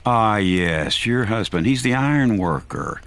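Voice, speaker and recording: A man's voice: fairly low pitch, expressive, unhurried, a bit bright, no room sound. A man playing an arrogant, exhausted army general on the run in an amateur audio drama, commanding and self-satisfied. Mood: smug.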